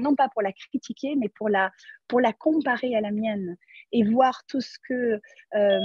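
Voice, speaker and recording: Husky-voiced woman on a dull webinar line. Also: medium pitch, darkish tone, no echo. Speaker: novelist guest. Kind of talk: explaining a book in a webinar.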